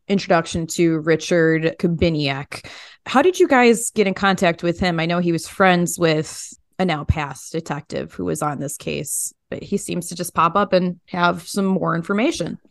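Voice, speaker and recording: A woman's voice: on the low side, normal speed, no echo. An American woman hosts a podcast interview in a casual conversational style. Her voice sits below average for a woman, in a very dry recording.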